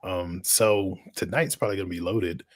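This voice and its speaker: A man's voice: low-pitched, fairly even intonation, moderate pace, no echo. A man co-hosting an open chat show, talking casually with lots of laughter and restarts. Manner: laughs often, rambling restarts. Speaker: podcast co-host.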